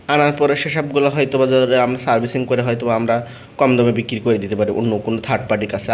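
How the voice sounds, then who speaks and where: A man with a Bangladeshi accent giving an informal product pitch, explaining step by step, repeating himself and often checking that listeners follow. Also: slight background noise. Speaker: seller presenting a product.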